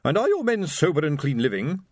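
Man doing bossy society wife, high and pinched, demanding, dry close sound. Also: very animated, a little fast, darkish tone, dry recording. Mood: haughty. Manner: haughty, demanding. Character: shrill imperious middle-aged wife.

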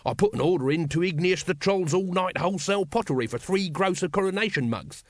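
A man's voice: high voice, expressive, quick pace, no room sound, studio quality, voice pushed up. A man voicing a cheerful, pushy street vendor in a storybook, with wheedling sales patter. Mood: grumbling, salesmanlike. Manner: whining, hawking sales patter.

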